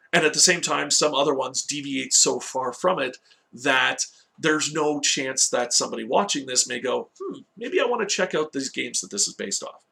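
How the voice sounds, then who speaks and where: Give the voice of a man hosting a casual online review. His tone is bright and forceful, with heavy vocal fry running through it. He addresses viewers directly and informally, like a regular presenter. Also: mid-range voice. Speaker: host of a video game movie review series. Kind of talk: casual scripted-outline review, talking to viewers.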